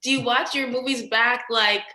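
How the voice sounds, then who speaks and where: A woman's voice: on the high side, steady tone, moderate pace, bright, forceful tone. A woman doing a casual, chatty entertainment interview, using informal, slangy phrasing. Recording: roomy, echoing sound.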